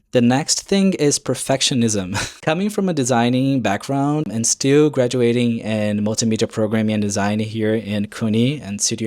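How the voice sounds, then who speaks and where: Young guy vlogging, english is his second language, average pitch, earnest and encouraging, keeps restarting words. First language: not English. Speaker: YouTuber. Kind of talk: casual advice vlog to camera.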